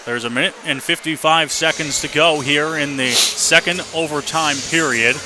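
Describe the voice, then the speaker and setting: A man doing live radio play-by-play of a hockey game, in clipped running descriptions that can build to excited shouting. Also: fairly high pitch, moderate pace, over music. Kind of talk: live radio sports commentary. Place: indoor hockey arena. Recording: noisy live arena broadcast. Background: loud rink ambience.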